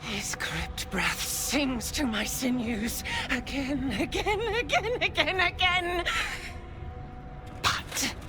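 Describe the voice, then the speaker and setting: A woman's voice: high voice, animated, takes it slowly, music in the background. An actress playing a bloodthirsty, unhinged female assassin in a video game cutscene, gloating in a singsong whisper. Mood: ecstatic chant. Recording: game audio with loud score.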